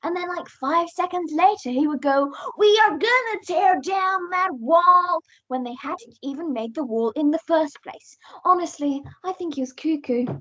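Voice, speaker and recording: A woman's voice: extremely high pitch, moderate pace, hardly any pauses, a bit bright. A young English woman acting in an amateur radio play as a bossy, opinionated aunt recounting past events dramatically. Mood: mocking. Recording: dull lo-fi home recording.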